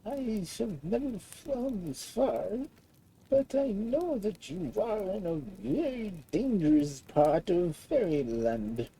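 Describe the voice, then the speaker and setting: A storyteller voicing a mournful, grateful dragonfly in a weak, thin, slow and drawn-out voice. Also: medium pitch, mellow, a little hiss or hum, pitched above the performer's own voice, low-quality recording.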